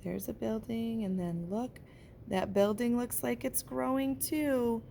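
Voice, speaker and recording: A woman reads a children's picture book in a slow, unhurried way, stopping to talk about the pictures. Her voice sits a little high and stays gentle throughout. A faint hiss of noise sits under her voice. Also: fairly even intonation, vocal fry. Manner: gentle, slow storybook delivery with pauses. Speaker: teacher reading aloud. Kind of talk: picture book read-aloud with comments on the pictures.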